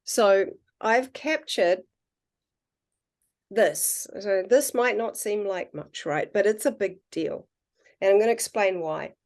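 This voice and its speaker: A woman with a New Zealand accent, a podcast guest and water researcher, talking in an earnest, musing way and slowing down on her key points. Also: mid-range voice. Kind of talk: casual conversational interview.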